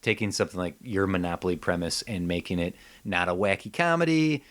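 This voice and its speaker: A man's voice: deep voice, brisk, a bit bright. A man co-hosting a podcast, bantering and commenting in a casual, conversational style. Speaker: podcast co-host.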